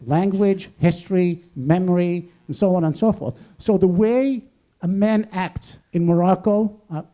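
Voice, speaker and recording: A man with a very dark, soft-edged voice, pitched a little on the high side, speaks as a professor on a panel. He works through ideas in a discursive, halting way, often restating a phrase before moving on. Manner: discursive, halting, rephrasing as he goes. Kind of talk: extemporaneous academic discussion before an audience.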